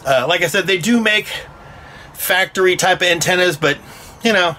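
A man's voice: high voice, moderate pace, pushed and bright, slight background noise. A middle-aged American man giving a casual, folksy, rambling how-to explanation, recorded on a phone at arm's length inside a small metal trailer. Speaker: DIY vlogger. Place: inside a small aluminum cargo or horse trailer.

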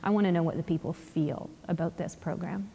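A woman with a mellow, slightly low voice speaks frankly and with emphasis on a panel. A long hall reverb from the theater follows her words. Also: brisk, vocal fry, breathy edge. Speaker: public health panelist. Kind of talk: panel discussion. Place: large theater stage.

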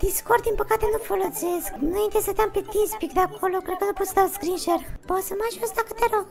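A man using a voice changer to play a sweet, pleading young woman who keeps apologising in an artificially high voice. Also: steady tone, gravelly. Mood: polite, apologetic. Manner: apologetic, coaxing. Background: background music.

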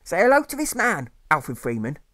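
A man voicing a fussy, anxious widowed mother in a high, pleading falsetto. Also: huge pitch swings, moderate pace, fairly bright tone, no room sound, studio quality. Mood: coaxing.

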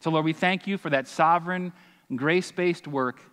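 Earnest preacher with a slightly high voice. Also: male voice, moderate pace, fairly bright tone, clean tone. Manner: earnest, emphatic, with call-and-response asides. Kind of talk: sermon with scripture reading and prayer. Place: church stage, large hall. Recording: long reverb of a large hall.

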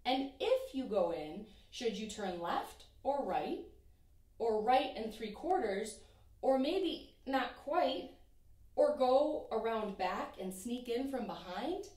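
Slow, expressive woman reading a picture book. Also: on the high side, far from the mic. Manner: expressive, sing-song rhyming delivery. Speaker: teacher reading aloud. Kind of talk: children's picture book read-aloud. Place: small room in front of a painted backdrop. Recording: echoey room, voice from off-mic.

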